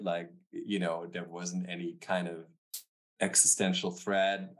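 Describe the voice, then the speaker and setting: A middle-aged man with a German accent and a low voice speaks as a podcast guest. He talks thoughtfully and often softens his words with "you know" and "sort of." The sound comes through a remote call. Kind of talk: reflective interview talk.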